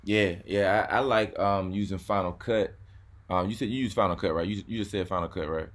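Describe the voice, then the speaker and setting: A man's voice: deep voice, fairly even intonation, brisk. A man hosting a car channel, chatting casually, repeating words and saying "man" often. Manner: laid-back, repeats words, says "man" a lot. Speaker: YouTube car channel host. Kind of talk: casual chat.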